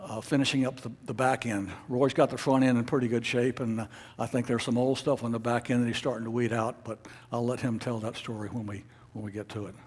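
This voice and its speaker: Male club officer addressing members informally; average pitch, hesitant, meandering delivery full of fillers and false starts. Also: level tone, a little mellow, husky. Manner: halting, rambling, frequent uhs and false starts. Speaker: amateur radio club officer presenting at a meeting. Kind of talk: informal meeting presentation.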